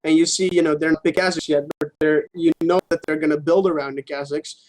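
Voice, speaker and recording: A man co-hosting a gaming show, chatting casually in a halting way, repeating words and swearing freely. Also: on the high side, fairly even intonation, darkish tone, poor audio.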